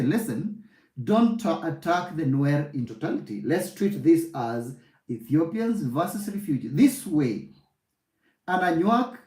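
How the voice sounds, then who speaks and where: Man, host, calm moderator, animated pitch, echoey remote audio. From Kenya. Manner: calm, steering others to take turns. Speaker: discussion host. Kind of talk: moderating a heated online discussion.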